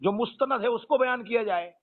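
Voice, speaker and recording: A man's voice: extremely high pitch, fairly quick. A man on a phone call, questioning and urging in an insistent, argumentative but respectful way. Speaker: caller. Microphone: telephone. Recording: narrow, tinny phone call.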